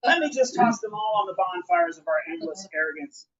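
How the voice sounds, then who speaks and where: A man's voice: high-pitched, lively intonation, a little fast, fairly bright tone. A man giving a live spoken-word poetry reading, with an emphatic, rhythmic, declamatory delivery. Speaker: poet. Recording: dull, lo-fi live recording.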